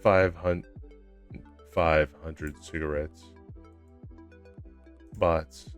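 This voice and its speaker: A young man with a low voice, chatting casually with his live-stream chat in a laid-back, rambling way at a desk mic at home. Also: steady tone, drawn-out words, smooth, dull audio, soft background music.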